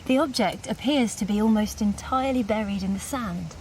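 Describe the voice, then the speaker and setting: A woman's voice: medium pitch, brisk, a little mellow, far from the mic, a little hiss or hum, natural voice, poor audio. A woman acting as a composed, inquisitive British scientist in a naturalistic audio drama. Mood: focused.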